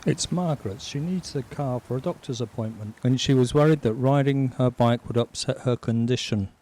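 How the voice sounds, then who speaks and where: An actor playing an odd, pedantic male post office worker, deadpan and fond of trivia. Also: mid-range voice, normal speed, a little hiss or hum, natural voice. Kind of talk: amateur audio drama acting. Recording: music clearly underneath.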